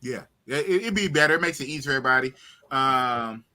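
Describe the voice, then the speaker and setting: A man in his fifties hosting a live talk stream, chatting casually and shouting out viewers by name. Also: mid-range voice, fast talker. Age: 50s. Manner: animated, shouts out viewers, repeats himself. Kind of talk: casual livestream chat.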